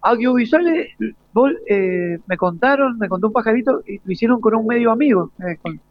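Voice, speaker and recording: A man's voice: sits high, lively intonation, brisk, no room sound. A man with an Argentine accent hosting an informal live radio interview, colloquial and searching for words. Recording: slightly dull, lo-fi live radio sound.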